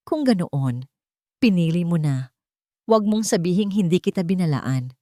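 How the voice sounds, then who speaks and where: A woman's voice: on the low side, animated, normal speed, dark tone, no echo, studio quality, close to an everyday voice. A woman voicing a cold, proud, wealthy matriarch in an audio drama, speaking with bitter menace. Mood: venomous, bitter. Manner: bitter, threatening, whispering venom.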